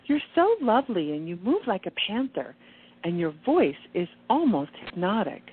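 A woman's voice: medium pitch, sing-song, moderate pace, slight background noise, lightly acted. A woman acting a gushing, theatrical singer who speaks effusively and affectionately, in a radio play. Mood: gushing, charming. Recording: tinny phone-like lo-fi audio.

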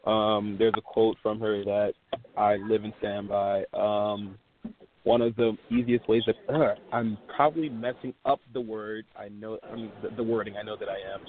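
A man's voice: lowish voice, fairly even intonation, normal speed. A man, calling in and talking politely with many restarts and apologies. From Louisiana, USA. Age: 20s. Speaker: caller. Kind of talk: casual call-in chat. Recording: tinny phone line with faint noise.

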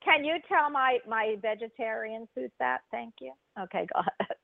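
Excitable woman in her sixties, radio call-in host, over a phone line. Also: fairly high pitch, moderate pace, creaky, a crowd in the background. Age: 60s. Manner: excitable, hesitant with restarts.

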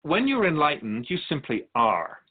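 An actor playing a calm, wise, otherworldly keeper of souls who teaches in a measured, explanatory way as a guest in a radio drama. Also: male voice, fairly high pitch, husky, narrow, tinny audio, heavily acted. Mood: reflective.

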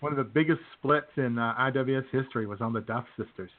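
A male podcast host with a medium-pitched voice, heard through a narrow, phone-like sound. He chats loosely and banters with co-hosts in a jokey, mock-enthusiastic manner. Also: fast. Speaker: host of a comedy radio podcast. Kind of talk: casual jokey chat with co-hosts. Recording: tinny phone-like band-limited sound.

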